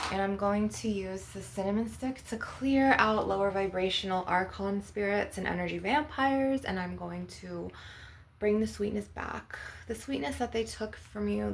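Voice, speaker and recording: A woman with a creaky, fry-edged voice at a middle pitch leads an ASMR energy-healing session. She guides the listener instructively as a spiritual healer. The recording is noticeably noisy, with clicks and clatter. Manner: instructive, guiding breathing and visualisation.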